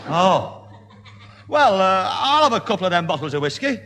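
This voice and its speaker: A man's voice: high voice, very animated, moderate pace. An actor playing a blustering, sarcastic Northern English man in a vintage radio sitcom. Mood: suspicious. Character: blustering, sarcastic grown man, sceptical neighbour. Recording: hissy 1970 radio comedy recording.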